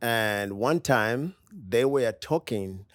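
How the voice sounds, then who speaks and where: A man from Uganda, a pastor as a podcast guest, talking in an upbeat, warm way and laughing easily in a studio. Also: mid-range voice, animated, slow, dry recording. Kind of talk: interview conversation.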